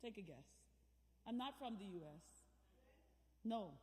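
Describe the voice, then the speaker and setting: A woman's voice: medium pitch, lively intonation, measured pace, warm tone. A woman from Jamaica, giving a motivational talk as a guest speaker and drawing in the crowd with questions. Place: large church hall. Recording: long reverb of a big church hall.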